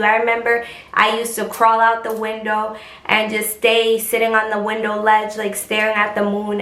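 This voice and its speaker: Chatty young woman with a bright voice. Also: reverberant. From New York. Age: 20s. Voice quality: high, squeaky voice. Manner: chatty, frank, slangy. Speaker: vlogger. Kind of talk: casual talk to camera.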